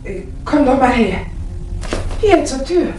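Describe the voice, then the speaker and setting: An actress playing an uneasy, curious companion of a sleuth in a 1960 radio mystery, theatrical and questioning. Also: female voice, high-pitched, sing-song, takes it slowly, full character voice. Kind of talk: 1960 German radio mystery play acting. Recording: vintage vinyl radio play, faint noise.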